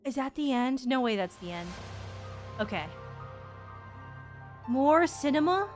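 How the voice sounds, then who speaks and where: A woman streamer giving a casual, foul-mouthed, slangy and excitable live reaction to a game. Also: a little high, normal speed. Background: game music.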